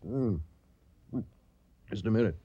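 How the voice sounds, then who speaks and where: An actor playing an eager, besotted amateur cook in a radio comedy. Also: male voice, fairly low pitch, lively intonation, slow pace, highs cut off. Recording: clear noise, clinking cutlery.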